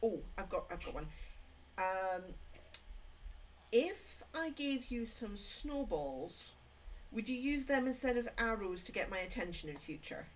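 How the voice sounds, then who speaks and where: A woman streamer chatting in character during a roleplay game, thinking aloud with plenty of ums and pauses. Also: on the high side, very animated, a little hiss or hum, rough recording. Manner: hesitant, thinking aloud with many fillers. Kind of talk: in-character roleplay chat while gaming.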